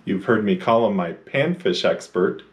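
Relaxed man fishing on a lake. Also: fairly low pitch, moderate pace, breathy edge, no echo. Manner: relaxed, chatty, cheering on fish. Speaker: fishing vlogger. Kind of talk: casual fishing commentary. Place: outdoors on a lake in a boat. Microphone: action camera.

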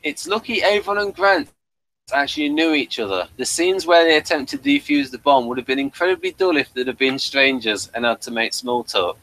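A man's voice: mid-range voice, animated, fairly quick, pushed and bright, poor audio. A man chatting casually as he co-hosts a review podcast. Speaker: podcast co-host.

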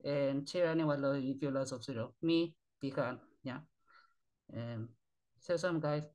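Tired man speaking halting broken English. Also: medium pitch, steady tone, darkish tone, dull audio. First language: Spanish. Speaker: channel host. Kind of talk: rambling webcam outro. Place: small bedroom, indoors. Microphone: webcam at desk.

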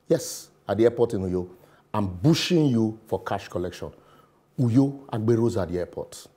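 A middle-aged man with a Nigerian accent reads his opinion piece to a studio camera. His voice is mellow and a little husky, and he leans on words with sarcasm and pidgin asides. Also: lowish voice. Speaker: commentator. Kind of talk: scripted opinion commentary. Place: television studio.

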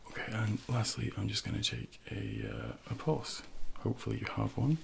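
A man in his thirties speaks softly and close to the mic, as a personal trainer in an ASMR role-play. His voice is low and thick with gravelly fry. He takes his time, pausing gently, over a faint layer of noise. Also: wildly swooping pitch. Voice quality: soft, breathy, gravelly low voice.

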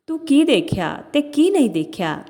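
A woman's voice: sits high, very animated, moderate pace, a little mellow, pitched above the performer's own voice. Woman voicing a cruel mistress in long reverb. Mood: angry, accusing. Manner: scolding, commanding. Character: cruel, accusing wealthy mistress of the house.

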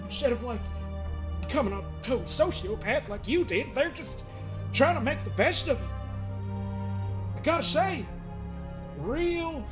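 Smug middle-aged cosmic therapist man, played by a woman, mock-stern and folksy, very animated pitch, comedic game dub over prominent music. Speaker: fan dub voice performer. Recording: music under the voice.

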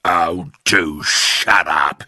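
A man voicing a nasty, scheming old husband in a storybook reading, slow and sneering. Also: sits low, sharp tone, dry recording, clean studio sound, heavily acted.

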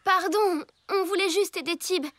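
A sweet high cartoon girl. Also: fairly even intonation, normal speed, dry recording. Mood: apologetic. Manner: gentle, caring. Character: kind helpful young girl. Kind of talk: cartoon dubbing. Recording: music playing behind.

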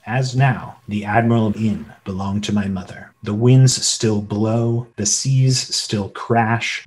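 An earnest male storyteller acted by a man narrates a radio play as a memoir. His voice is fairly low and literary in delivery. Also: close to an everyday voice. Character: grown man recalling his boyhood adventure. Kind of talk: scripted dramatic narration.

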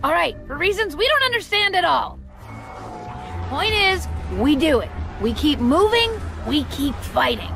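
A woman's voice: high voice, sing-song, measured pace, creaky, over music, heavily acted. An actress playing a tough, determined young woman, firm and feisty, in a video game cutscene. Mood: resolute.

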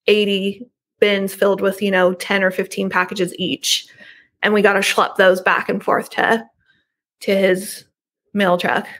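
A middle-aged woman talking casually as an interview guest over a video call from a room at home. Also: medium pitch. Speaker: podcast guest, horror book subscription business owner.

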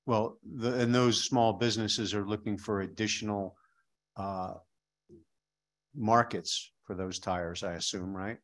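A man's voice: on the low side, steady tone, unhurried. A middle-aged man questioning a witness at a legislative hearing, probing and skeptical, speaking into a desk microphone in a hearing room.

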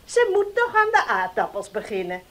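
A woman's voice: wildly swooping pitch, moderate pace, a bit bright. An actress playing a scolding, penny-pinching middle-aged mother in a 1970s radio play. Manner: scolding, shrill.

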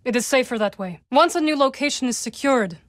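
A woman playing a solemn, guarded young witch in a video game, with dry wit and measured, formal phrasing. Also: high-pitched, lively intonation, no room sound. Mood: calm, guarded. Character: young adult witch, solemn, guarded, dryly wry rebel. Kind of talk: video game character dialogue.

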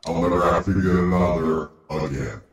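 Slow deep-voiced man, cavernous echo. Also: vocal fry, noisy background. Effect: voice changer with deep pitch and echo. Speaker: gamer demonstrating a voice changer to friends. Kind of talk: casual chat and joke narration.

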